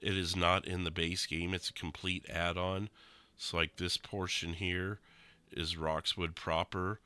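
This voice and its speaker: A young man streaming a game, sounding nasal and rough after being sick, explaining things casually with lots of fillers. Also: deep voice, unhurried, no room sound. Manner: relaxed, rambling explanations with many fillers. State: nasal and rough from recent illness. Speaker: streamer explaining a roleplay server. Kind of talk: casual gameplay commentary.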